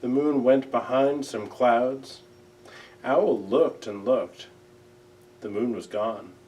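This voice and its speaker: A man reading a children's storybook aloud in a gentle, measured, expressive way. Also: medium pitch, far from the mic. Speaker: library director reading aloud.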